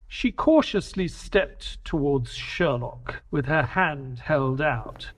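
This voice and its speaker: A man's voice: fairly high pitch, lively intonation, takes it slowly. A man playing a wry, proper and exasperated detective's companion, acting and narrating in an audio drama. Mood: measured narrating. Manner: polite, wry, precise.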